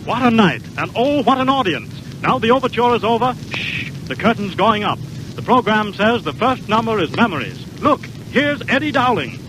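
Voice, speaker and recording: An actor playing an excited male theatregoer at an opening night, in 1930s radio style on an old broadcast. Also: sits high, normal speed, over loud music, low-quality recording.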